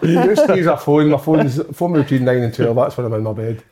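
A man's voice: medium pitch, expressive, fast, a loud crowd. A man co-hosting a football chat show, rambling and joking crudely, laughing easily. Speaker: podcast co-host, goalkeeper. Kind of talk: casual banter.

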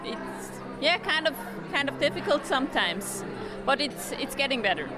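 Hesitant woman over loud crowd. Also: high voice, sing-song, moderate pace. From Switzerland. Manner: hesitant, repeats words. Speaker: coeliac association delegate being interviewed. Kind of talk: interview answers. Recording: loud music and crowd behind voice. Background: music, busy crowd.

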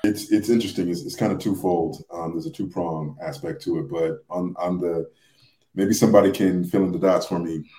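Low, mellow man in an echoey room. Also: steady tone, a little fast. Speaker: podcast guest. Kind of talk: casual chat. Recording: roomy, echoing sound.

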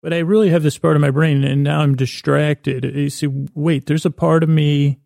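A man with a gravelly, creaky voice and a soft, mellow tone hosts a bedtime podcast in a close, dry sound. He meanders whimsically from thought to thought, stopping, doubling back and repeating words. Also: fairly high pitch, flat delivery, normal speed, no room sound. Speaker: podcast host. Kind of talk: rambling, whimsical bedtime monologue.

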